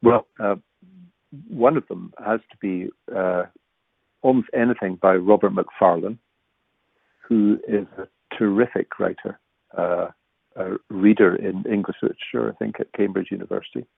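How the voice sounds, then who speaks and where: A man's voice: on the low side, moderate pace, vocal fry, breathy edge, no echo. A man from Scotland giving a thoughtful interview about walking and pilgrimage, with frequent restarts. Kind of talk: reflective conversational interview. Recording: dry, tinny phone-like line.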